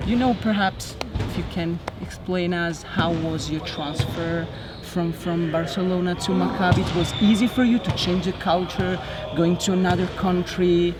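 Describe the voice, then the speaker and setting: A male interviewer who is not a native English speaker, asking casual sports questions in hesitant, halting phrases. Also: sits high, fairly even intonation. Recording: music playing over the voice.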